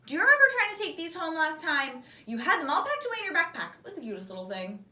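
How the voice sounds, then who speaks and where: A woman's voice: sits high, sing-song, quick pace, sharp tone, pitched above the performer's own voice, full character voice. A woman voicing a kindly old grandmother in a children's storybook, fond and chatty. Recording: roomy echo, distant microphone.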